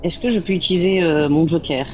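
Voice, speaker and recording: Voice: mid-range voice, a little fast, muffled recording, faint music behind. A quiz contestant on a live stream, talking casually and hesitantly. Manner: hesitant, many fillers.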